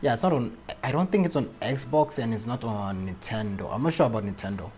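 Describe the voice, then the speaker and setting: A man's voice: mid-range voice, a little fast, darkish tone. A man live streaming a video game, chatting casually in slangy, repetitive phrases. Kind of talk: casual live chat while playing.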